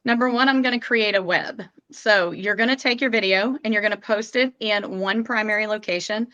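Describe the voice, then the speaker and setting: A woman shares marketing advice as a workshop panelist, painting detailed scenes to draw listeners in. Her voice is bright and forceful. The recording sounds a little dull and lo-fi. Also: medium pitch, fairly quick. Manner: vivid, persuasive storytelling.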